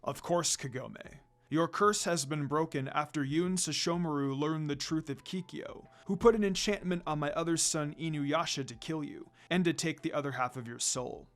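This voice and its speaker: A man voicing a calm, kindly dog demon spirit, a father speaking gently and solemnly in a fan fiction reading. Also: a little high, moderate pace, lightly acted. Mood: solemn, reassuring.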